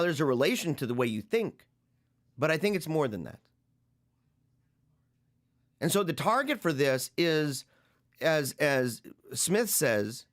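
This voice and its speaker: Host, male, forties, fairly high voice; unscripted, meandering reflection with restarts. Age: 40s. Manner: rambling, halting, repeats phrases while thinking aloud. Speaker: podcast host discussing a book. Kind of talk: reflective solo commentary.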